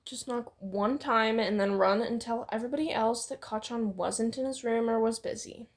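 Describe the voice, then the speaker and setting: Woman, high-pitched, breathy rough-edged tone, playful informal story reading, bantering. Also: fairly even intonation, moderate pace. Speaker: co-reader on a fan fiction reading channel. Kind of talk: informal fan fiction read-aloud with banter.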